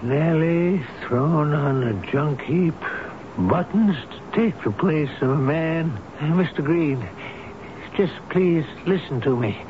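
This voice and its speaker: A man's voice: lively intonation, slow pace. An actor playing a devoted, sentimental man of seventy in a radio drama, with a folksy, earnest, pleading way of talking. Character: devoted, sentimental seventy-year-old elevator operator, pleading. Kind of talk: 1970s radio drama acting. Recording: muffled old radio broadcast, faint rumbling hiss.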